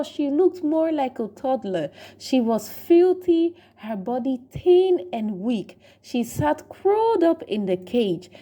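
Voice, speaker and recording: A woman's voice: on the high side, warm tone. A woman narrating a scripted story in a dramatic storytelling style. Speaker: storyteller, channel host.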